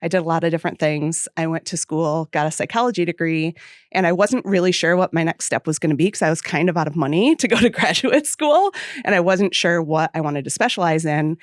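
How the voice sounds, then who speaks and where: Podcast guest, woman in her 40s from central New York, lowish voice, chatty storyteller, dry close mic. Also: brisk, no echo. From central New York state. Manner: chatty storyteller, laughs easily. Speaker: guest, home baking business owner. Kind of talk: casual podcast interview.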